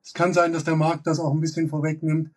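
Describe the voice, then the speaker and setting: A man in his thirties gives market commentary from an office. His voice sits a touch high for a man, and it sounds a little distant from the microphone. He talks analytically, with frequent fillers and restarts. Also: monotone, fast talker, slightly rough. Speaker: derivatives expert guest. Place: office with glass wall.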